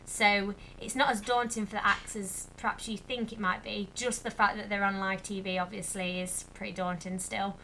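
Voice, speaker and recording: A young woman, a little croaky, chatting casually to camera in a rambling vlog update. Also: mid-range voice, level tone, normal speed, hardly any pauses, sharp tone, slight background noise, rough recording. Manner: chatty, rambling with many fillers. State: slightly croaky. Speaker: vlogger.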